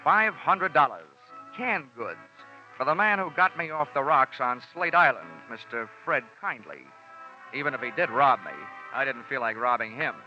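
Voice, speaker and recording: A man's voice: medium pitch, bright, forceful tone. An actor playing a wry, hard-boiled insurance investigator narrating his case in 1940s radio acting. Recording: muffled old radio broadcast.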